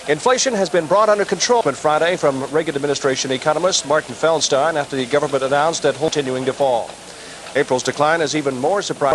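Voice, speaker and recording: Man, American news anchor, higher-than-average pitch, headline reading, lightly noisy vintage TV tape. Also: fairly bright tone. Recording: slightly noisy 1980s TV broadcast tape.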